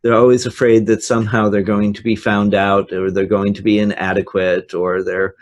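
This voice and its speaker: A man's voice: fairly low pitch, little pitch movement, normal speed. An older man, a professor who trains pronunciation teachers, answers an interview on a video call from his office, speaking frankly and thoughtfully with many hesitations.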